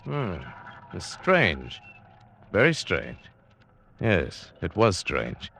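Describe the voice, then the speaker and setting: An actor playing an uneasy, brooding yachtsman who tells his seafaring story in a measured, literary old-time radio drama style. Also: sits low, huge pitch swings, creaky, pushed and bright, slight background noise, full character voice. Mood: curious. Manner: measured, literary, atmospheric delivery. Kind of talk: 1940s-50s radio drama acting, scripted narration.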